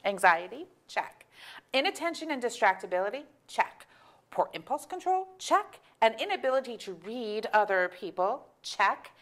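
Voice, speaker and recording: Actress as wry middle-aged mom, animated and high-pitched. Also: female voice, normal speed. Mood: wry, exasperated. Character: exasperated, candid middle-aged mother of young children. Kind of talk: staged play reading, conversational comedy. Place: auditorium stage.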